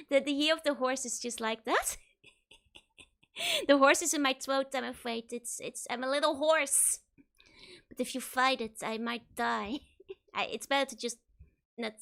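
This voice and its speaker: A young woman streaming a game, hoarse, giggling and rambling playfully as she chats. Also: sits high, pushed and bright. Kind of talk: casual game stream chat.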